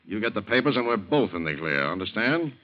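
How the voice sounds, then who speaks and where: A menacing American blackmailer from old-time radio. His delivery swings widely in pitch as he lays down domineering orders. The recording is dull and aged. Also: very animated. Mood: menacing, commanding. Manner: domineering, deliberate threats. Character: coldly menacing male blackmailer giving orders.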